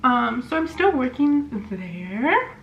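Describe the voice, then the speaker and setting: A young woman in her twenties from California talks in a loose, rambling way, full of fillers and restarts, as she does her makeup. Her voice sits a little high. The sound is slightly dull, with a faint hiss underneath. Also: very animated, slow pace. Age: 20s. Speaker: vlogger. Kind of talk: casual chat while doing makeup. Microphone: camera mic.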